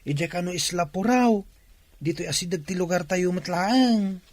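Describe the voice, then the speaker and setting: Man as an eager, high-pitched village neighbor. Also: normal speed, darkish tone, dry recording, natural voice. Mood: hurried, newsy. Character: eager male village neighbor bringing news. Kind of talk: Ilocano radio drama acting.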